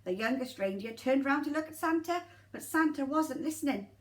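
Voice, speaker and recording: A woman's voice: high voice, fairly quick. A woman reading a children's story expressively and dramatically, with asides straight to the young listeners. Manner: animated, dramatic, addresses children directly. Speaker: nursery teacher reading a story.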